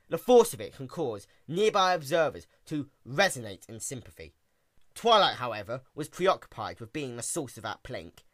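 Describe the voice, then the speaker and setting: A man's voice: fairly high pitch, fairly bright tone, no room sound, studio quality. A man giving a dramatic story reading with a theatrical, wry and emphatic delivery. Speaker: story narrator.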